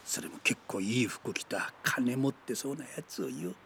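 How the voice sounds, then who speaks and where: An actor playing a coarse, sneering man who talks in rough street slang, in a radio drama. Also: mid-range voice, lively intonation, normal speed, fairly bright tone, slight background noise. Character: coarse sneering man, then stern father. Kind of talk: 1990s radio drama acting.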